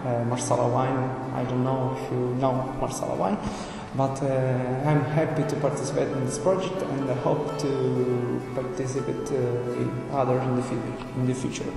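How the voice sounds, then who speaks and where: A man from Sicily with an Italian accent, haltingly introducing himself as a participant in a youth project. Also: mid-range voice, level tone, vocal fry. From Sicily, Italy. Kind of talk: short self-introduction. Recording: very echoey hall, far from mic, with music.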